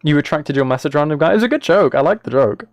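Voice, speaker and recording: A man's voice: fairly high pitch, animated, fast talker, dry recording. A man live streaming, talking casually and a little hesitantly to his viewers as he reads chat and reacts.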